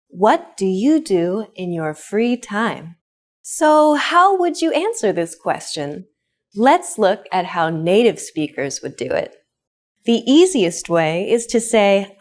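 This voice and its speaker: Woman, English teacher; upbeat, unhurried, very expressive pitch. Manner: clear, upbeat, slow modeling of example phrases. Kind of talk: scripted language lesson.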